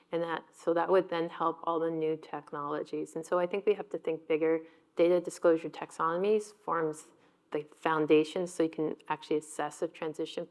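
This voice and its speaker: Low-voiced woman panelist, brisk and informed. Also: a little mellow. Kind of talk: panel discussion.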